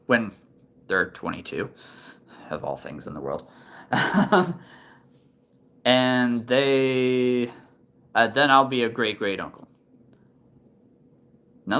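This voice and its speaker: A man's voice: medium pitch, bright, forceful tone. A man in his thirties chatting casually to the camera, thinking aloud with many ums and restarts. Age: 30s. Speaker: vlogger.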